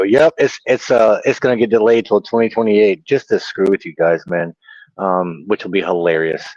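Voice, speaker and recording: A man's voice: sits low, a little mellow, no echo. A man co-hosting a casual livestream chat, with a slight lisp and occasional stutter, rambling with many fillers. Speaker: co-host. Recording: dry, dull lo-fi audio.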